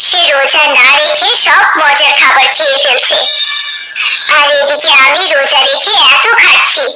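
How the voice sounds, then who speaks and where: A woman's voice: sits high, steady tone, moderate pace, close to an everyday voice. A woman voicing a stern, resentful elder wife and mother in a cartoon, curt and scolding, in a noisy, echoey recording. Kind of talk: cartoon dialogue.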